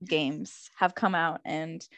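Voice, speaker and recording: A woman's voice: sits low, fairly even intonation, takes it slowly, a bit bright. A sleepy young woman in casual chat, rambling with lots of fillers and giggles. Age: young adult.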